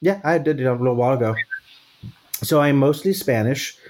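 A man's voice: medium pitch, animated, a little fast, a little mellow. A man from Texas in casual conversation, talking thoughtfully and checking in with "right?" as he goes. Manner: thoughtful, frequent restarts and "right?".

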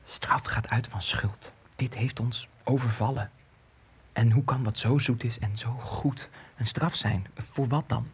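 A man's voice: medium pitch, steady tone, unhurried, breathy edge. An actor in a radio play, playing a tormented, ashamed resistance man who is a secret lover in hiding, earnest and intense. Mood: hushed, tender.

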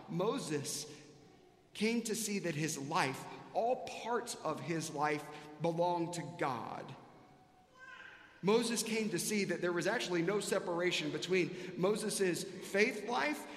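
A man's voice: high-pitched, expressive, measured pace. A man preaching a sermon as a pastor, building earnest questions through repetition. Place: large reverberant church sanctuary. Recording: echoey hall with long reverb.

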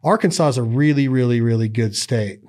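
A man's voice: medium pitch, a little fast, darkish tone. Rambling man hosting a podcast. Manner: rambling, joking, folksy. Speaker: podcast host. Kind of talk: casual podcast chat and ad reads.

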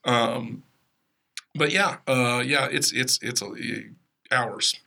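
A man's voice: mid-range voice, steady tone, takes it slowly, bright, forceful tone. A man co-hosting a podcast in casual chat, restarting his phrases often. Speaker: podcast co-host. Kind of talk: casual round-table chat.

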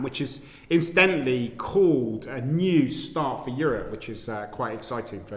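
A native English-speaking man moderating a political panel discussion, with hesitant phrasing and repeated words. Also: mid-range voice. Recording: echoey, reverberant hall.

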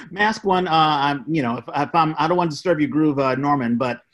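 A man's voice: a little high, normal speed. An American man co-hosting a podcast in casual conversation. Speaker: podcast co-host, playwright.

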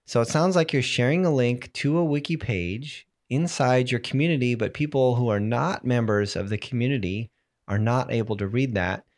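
A man hosting a solo tech podcast, explaining software settings while fighting a cold, clearing his throat now and then. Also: medium pitch, moderate pace. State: has a cold, voice strained. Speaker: podcast host.

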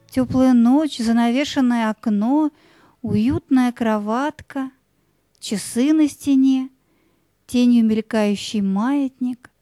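A woman tells a fairy tale slowly and with wonder. Her voice is mellow and a touch high, with faint music behind it. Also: no echo. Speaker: narrator. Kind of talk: scripted fairy-tale narration.

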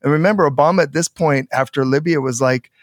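A man's voice: a little high, quick pace, dry recording, clean studio sound. A man talking politics in a loose, rambling way, often swearing and trailing off mid-sentence. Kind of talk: casual political chat.

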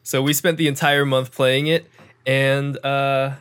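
A young man hosting a casual podcast, talking with energetic emphasis and dry sarcasm. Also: mid-range voice, measured pace, clear, resonant tone. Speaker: podcast host.

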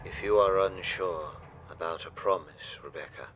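An actor in an audio drama playing a grave, weary old ship's builder who speaks solemnly and formally. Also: male voice, low-pitched, highs cut off, soft background music. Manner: solemn, formal, measured phrasing.

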